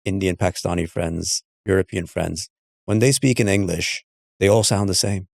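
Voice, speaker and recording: A middle-aged man chats as an interview host. His voice sits a little low and is recorded dry and close. He speaks haltingly, repeating words as he feels his way through a thought. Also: fairly even intonation, creaky, darkish tone, no echo. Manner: halting, searching for words with repetitions. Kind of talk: casual interview chat.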